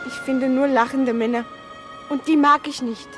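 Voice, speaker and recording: A woman's voice: high-pitched, steady tone, normal speed, close to an everyday voice. An actress playing an earnest, stubborn girl full of longing in a German radio play. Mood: bitter, wistful. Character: Anne, wistful, stubborn, intense girl longing to leave. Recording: music under the voice.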